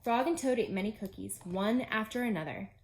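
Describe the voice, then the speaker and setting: A woman's voice: mid-range voice, fairly quick, a little mellow, slight background noise, poor audio. A woman teacher narrating a children's storybook aloud, with simple phrasing aimed at young children. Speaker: pre-K teacher reading aloud.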